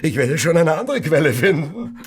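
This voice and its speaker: An actor in a German radio play as an irritable, paranoid, drug-addicted Victorian gentleman, brusque and bitter. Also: on the high side, sing-song, moderate pace, slight background noise. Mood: demanding, desperate.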